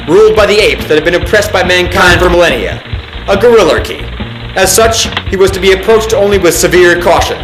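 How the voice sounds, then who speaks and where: A man's voice: high-pitched, fairly bright tone, roomy sound, rough recording. A man playing a solemn military narrator, reading a mock government film in a formal, pompous manner. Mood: ominous. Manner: formal, pompous, deliberate enunciation. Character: solemn male military briefing narrator, grave and officious. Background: music.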